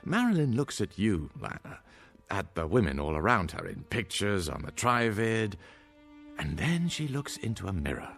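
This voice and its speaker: An actor playing a genial, patronizing doctor in a radio drama, with a condescending, hesitant manner. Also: male voice, fairly low pitch, big pitch swings, normal speed, vocal fry, a bit bright, faint music behind, heavily acted. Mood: reassuring, patronizing. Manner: chuckling, condescending, hesitant asides.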